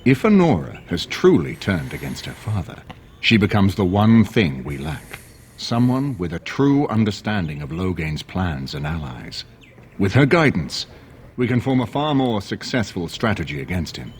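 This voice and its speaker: An actor playing a composed, earnest older nobleman in a video game, speaking in a measured, formal and persuasive way. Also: deep voice, sing-song, slight background noise. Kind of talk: video game dialogue acting. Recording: game dialogue over background music.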